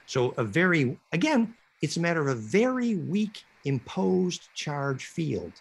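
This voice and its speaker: Animated gravelly man lecturing. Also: fairly high pitch, unhurried. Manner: animated, rambling explanation with many fillers. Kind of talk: online lecture.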